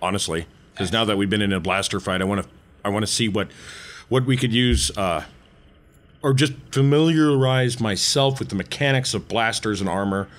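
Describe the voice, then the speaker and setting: A man's voice: fairly low pitch, normal speed. A man, a player on a tabletop game podcast, explaining things in casual talk.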